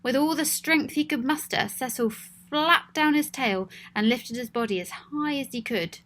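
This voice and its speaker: A fairly high-voiced woman reads a children's story aloud. Her telling is gentle and expressive, in the easy way of a storybook read to young listeners. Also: a little slow.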